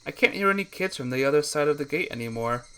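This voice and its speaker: A man reading a visual novel aloud as a let's play narrator, with expressive, earnest storytelling delivery. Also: a little high, quick pace, smooth.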